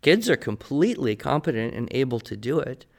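A man's voice: mid-range voice, big pitch swings, fairly quick, gravelly. An American man answering listener questions on a podcast as the expert, conversational, articulate and opinionated as he explains. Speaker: podcast guest expert, writing teacher.

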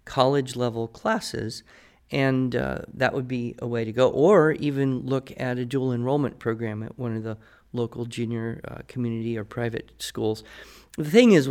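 Male, American, podcast expert. Articulate, opinionated explainer with a conversational mid-pitched delivery. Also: clean tone. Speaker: podcast guest expert, writing teacher. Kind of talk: conversational advice, answering listener questions.

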